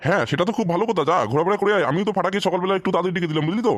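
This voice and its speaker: A bossy but indulgent old grandfather acted by a man in a homemade comic cartoon. He talks very fast and folksily, repeating his words, with a dry, close sound. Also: high-pitched, no echo. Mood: approving. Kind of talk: amateur comic cartoon dub.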